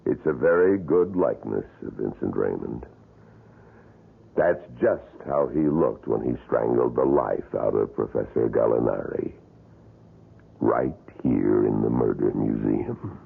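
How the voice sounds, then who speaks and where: A grim wax museum guide in an old radio drama, unfolding events in a measured, ominous way. His voice is extremely deep, husky and gravelly. It sounds thin and phone-like, like a lo-fi broadcast. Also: heavily acted. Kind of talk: 1970s radio drama acting.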